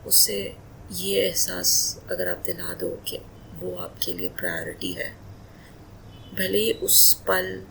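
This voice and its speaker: A woman playing a loving, playful wife in a romantic roleplay ASMR, speaking softly and breathily in an intimate, coaxing manner, often slipping into whispers. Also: lowish voice, vocal fry, noisy background, exaggerated voice. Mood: tender, earnest. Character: loving, emotional, playful young wife doting on husband.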